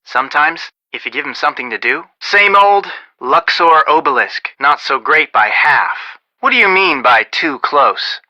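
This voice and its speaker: A man's voice: on the high side, expressive, moderate pace, bright, forceful tone, dry recording, lo-fi sound. An actor playing an earnest young male detective in a video game, articulate and dryly sarcastic in a conversational way. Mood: mildly exasperated.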